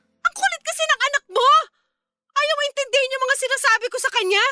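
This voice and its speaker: A woman's voice: very high-pitched, fairly quick, sharp tone, no echo, clean studio sound, full character voice. A woman with a Filipino accent playing an anguished, short-tempered young aunt in a radio drama. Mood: angry, scolding.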